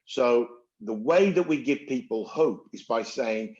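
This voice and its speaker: An older man moderating a panel, brisk and encouraging while pressed for time. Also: mid-range voice, expressive, a little mellow, highs cut off.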